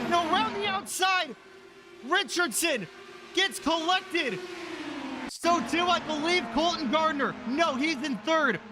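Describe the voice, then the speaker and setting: A man calling a sim race finish in excited play-by-play, shouting as the cars come to the line. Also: very high voice. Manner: shouting, fast excited calls. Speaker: race commentator and host. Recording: noisy stream audio.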